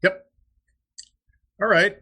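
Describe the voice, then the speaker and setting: A man's voice: very slow, sharp tone. A man co-hosting a podcast, speaking in a casual, conversational style. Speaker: podcast co-host. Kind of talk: casual chat.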